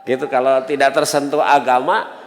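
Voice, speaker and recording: A man's voice: medium pitch, little pitch movement, moderate pace, a little hiss or hum. A man with a Sundanese accent preaching an Islamic sermon conversationally, with rhetorical questions and acted-out dialogue. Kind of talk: sermon to a congregation. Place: large mosque hall. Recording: reverberant mosque hall.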